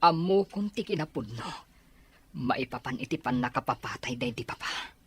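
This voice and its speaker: Man playing a grieving, justice-driven Ilocano son for audio drama; medium pitch, heavily rasped voice. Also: animated, moderate pace, a bit bright. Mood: resolute. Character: determined grown son bent on justice for his father. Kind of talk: Ilocano radio-style drama acting.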